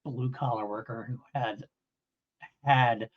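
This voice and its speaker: Male review co-host: conversational, meandering explainer, agreeable, average pitch, somewhat muffled recording. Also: steady tone, slow. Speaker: podcast co-host. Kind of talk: casual audio drama review.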